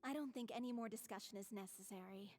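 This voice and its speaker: A woman's voice: mid-range voice, quick pace, a little mellow, natural voice. A woman voicing a composed, selfless young troupe performer in a video game, speaking earnestly. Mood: solemn, firm. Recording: reverberant hall sound.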